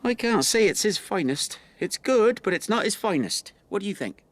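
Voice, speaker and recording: An actor playing a rueful rural man in a naturalistic radio drama, telling his memories in a halting, conversational way. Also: sits high, lively intonation. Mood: bright, puzzled. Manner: halting, reflective, conversational storytelling. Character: rueful rural man recalling an old friend's tragedy.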